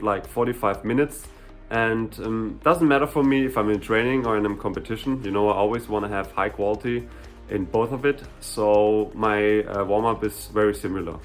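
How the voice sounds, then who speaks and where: A young man from Germany with a German accent, a sprinter and hurdler answering viewer questions in a relaxed, chatty way, full of "you know" and "like". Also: on the low side, moderate pace, soft background music. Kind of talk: casual Q&A vlog.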